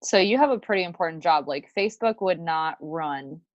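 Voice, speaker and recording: A woman's voice: low voice, expressive, a little fast, crowd noise. A woman hosting a podcast, asking casual questions, often laughing and rephrasing as she goes. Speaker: podcast host. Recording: dull, muffled sound, dry.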